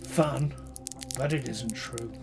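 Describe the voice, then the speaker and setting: An actor playing a skeptical, dry historian in an audio drama. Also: male voice, mid-range voice, takes it slowly, gravelly. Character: skeptical older male historian, dry and bitter. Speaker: academic interviewee. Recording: music bed under dialogue.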